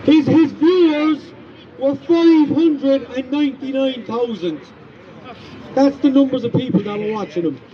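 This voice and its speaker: Loud Irish man at a rally. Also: very high-pitched, measured pace, lo-fi sound. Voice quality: big loud voice. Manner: emphatic, repetitive, indignant. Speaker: rally speaker. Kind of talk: outdoor protest speech. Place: street rally outdoors.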